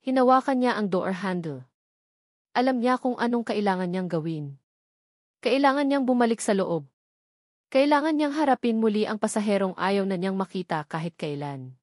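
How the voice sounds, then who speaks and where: A woman narrates a dramatic story in a scripted storytelling style. Her voice sits at a middle pitch, and the sound is dry, with hardly any room in it. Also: darkish tone, dry recording.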